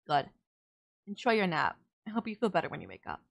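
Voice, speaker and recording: A woman's voice: lowish voice, huge pitch swings, brisk, creaky, exaggerated voice. A woman playing a sardonic yet caring female villain in an audio roleplay, blunt, teasing and exasperated. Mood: concerned, earnest. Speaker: voice actor. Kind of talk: audio roleplay drama, intimate one-sided dialogue.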